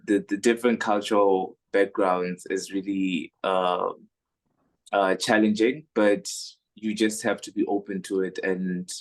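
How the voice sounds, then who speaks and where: A young man from Zimbabwe and South Africa, native speaker of Shona, chatting in a relaxed, hesitant way full of fillers and restarts. His voice sits low and stays fairly flat in tone. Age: young adult. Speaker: guest speaker, international student. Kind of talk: casual conversational answers.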